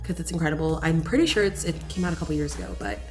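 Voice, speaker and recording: Female book vlogger, slightly low voice, candid and enthusiastic casual delivery, music underneath. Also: sing-song, racing. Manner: gushing, candid, emphatic. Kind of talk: casual chatty book review to camera.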